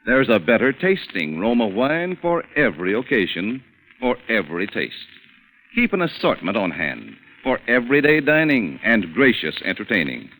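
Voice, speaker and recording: A man's voice: lowish voice, big pitch swings, normal speed, fairly bright tone, rough recording. An American man announcing a commercial in a polished, emphatic 1940s radio style. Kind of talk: 1940s radio advertising read. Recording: vintage radio recording with faint noise.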